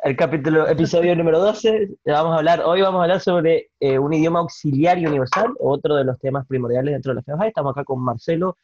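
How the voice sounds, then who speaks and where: A man's voice: on the high side, fast, hardly any pauses, a little mellow, no room sound. A man hosting a casual podcast, joking and playful with his guests. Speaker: podcast host. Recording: dull lo-fi recording.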